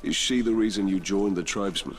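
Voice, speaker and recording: An actor playing a grim, stoic ranger in a fantasy game, grave and terse. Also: male voice, sits low, brisk, a little hiss or hum, close to an everyday voice. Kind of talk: video game cutscene acting.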